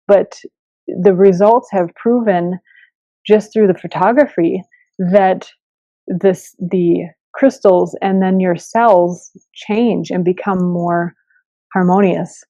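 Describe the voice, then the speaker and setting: A woman's voice: medium pitch, dark tone. A woman hosting a podcast in a conversational style, with a dull, echoey recording. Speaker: podcast host. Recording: dull, echoey room sound.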